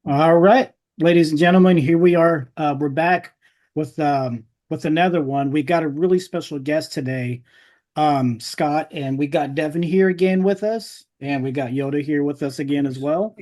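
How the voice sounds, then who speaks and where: A man's voice: a little high, moderate pace, darkish tone. A man hosting a podcast, talking informally and casually with his guest. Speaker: podcast host. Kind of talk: casual podcast interview chat.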